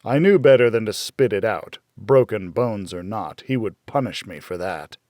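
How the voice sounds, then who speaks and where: A man reading a dark first-person thriller as an audiobook, in a measured, dramatic storytelling manner. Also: lowish voice, lively intonation, no room sound, clean studio sound. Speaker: audiobook narrator.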